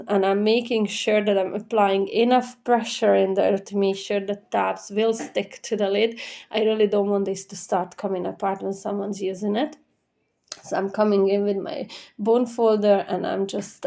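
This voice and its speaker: A woman talks through a craft tutorial step by step in a dark, relaxed, mid-pitched voice. She sounds slightly nasal from a head cold. Her explaining is gentle and chatty, in an informal voice-over style. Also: creaky. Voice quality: stuffy nose, slightly nasal. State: congested with a cold.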